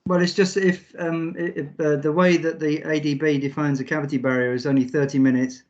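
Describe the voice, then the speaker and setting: A man's voice: on the high side, level tone, a little fast. Man making technical points, dull line. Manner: precise, hesitant restarts. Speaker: meeting participant. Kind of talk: technical discussion in an online meeting.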